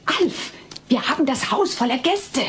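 Casting: exasperated household mother, highish animated voice, stern, sitcom audio play, noisy tape. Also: a little slow. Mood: indignant. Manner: stern, indignant. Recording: slightly noisy old cassette dub.

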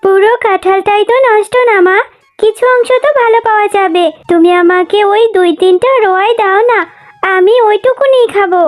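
A woman's voice: very high voice, moderate pace. A woman voicing a sweet, eager little girl fox cub in a cartoon, childlike and pleading. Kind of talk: cartoon voice acting. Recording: music under the voice.

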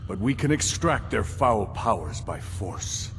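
An actor playing a weary, wise old male warrior leader in a fantasy game, speaking in a solemn, measured way. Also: fairly low pitch, very animated, a bit bright, full character voice. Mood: solemn, explaining. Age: older. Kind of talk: scripted fantasy game dialogue. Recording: hall reverb with game music behind.

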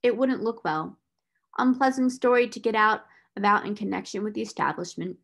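A woman voicing a shrewd, detached businessman, coolly polite and unhurried with a faintly sinister edge, in a storybook reading. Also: medium pitch, creaky, a bit bright.

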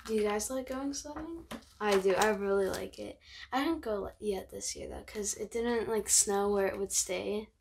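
A young teenage girl reading a picture book aloud, expressive and child-friendly, with chatty asides. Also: medium pitch, normal speed. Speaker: host of a read-aloud channel. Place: bedroom, sitting on a bed. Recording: clear background noise.

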